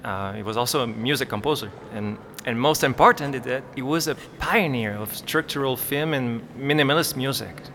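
An actor playing a museum staff member, talking hesitantly with fillers in an audio drama. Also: male voice, mid-range voice, brisk, hardly any pauses, pushed and bright, a little hiss or hum. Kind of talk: scripted audio drama dialogue.

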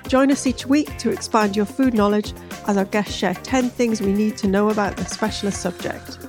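A woman hosts a food podcast in a mid-range voice, first reading a scripted welcome and then nudging her guest along with friendly agreement. Music runs clearly underneath her intro. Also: medium pitch, normal speed. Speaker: podcast host.

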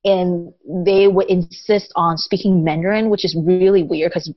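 A woman who grew up in Taiwan, a guest in a casual interview, talking in a thoughtful and unhurried way with lots of pauses. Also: lowish voice, fairly even intonation, no echo. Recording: dull lo-fi dry audio.

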